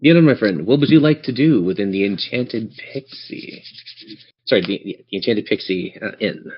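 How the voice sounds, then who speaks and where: A man's voice: lowish voice, moderate pace, breathy edge. A man running a tabletop role-playing game, narrating scenes in a descriptive, theatrical storyteller's manner. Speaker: game master. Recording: dull lo-fi audio.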